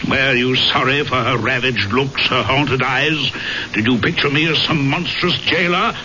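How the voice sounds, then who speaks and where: An actor playing a proud, bitter gentleman trophy hunter, speaking formally and deliberately in old-fashioned radio drama style. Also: medium pitch. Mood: bitter, accusing. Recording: dull, noisy vintage radio recording.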